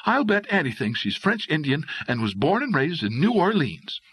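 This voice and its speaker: A man's voice: a little high, very animated, normal speed, a bit bright, breathy edge, no room sound, pitched above the performer's own voice, heavily acted. A man voicing an exuberant, outspoken and doting young woman in an audiobook, with gushing, emphatic delivery. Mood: jealous, indignant. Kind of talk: audiobook character voice.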